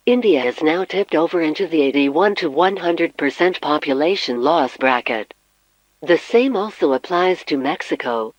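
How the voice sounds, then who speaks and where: A man playing a grave, formal announcer reading a scripted emergency broadcast for survivors of an apocalypse, in a measured delivery. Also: fairly high pitch, dry recording, lightly acted. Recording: dull, muffled lo-fi broadcast audio.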